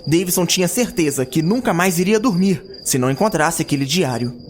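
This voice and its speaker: A man's voice: on the high side, expressive, a little fast, a little hiss or hum. A man narrating a scripted fantasy audio drama in a dramatic storytelling style. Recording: background music.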